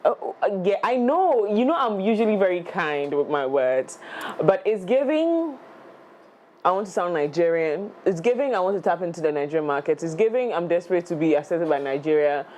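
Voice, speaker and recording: A woman co-hosting a radio show with a Ghanaian English accent, talking in a blunt, teasing, animated way. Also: on the low side, brisk, a little hiss or hum. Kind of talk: casual chat. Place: radio studio.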